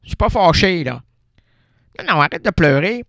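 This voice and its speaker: A man plays a doting, exasperated Quebec husband in an absurd radio comedy. He is wordy and mock-solemn, easily flustered, with animated pitch in a very dry recording. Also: normal speed, creaky, no room sound, exaggerated voice. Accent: Quebec French. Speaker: radio show host.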